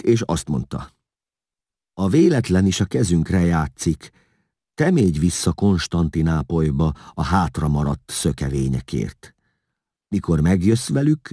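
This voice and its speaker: A man acts out a cowed, self-pitying interpreter from a historical storybook. The voice climbs well above a deep natural register and swings as it pleads and justifies itself tearfully. It is recorded close and dry. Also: warm tone, breathy edge, no room sound, heavily acted. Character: whining, fearful male interpreter confessing to a king, self-pitying.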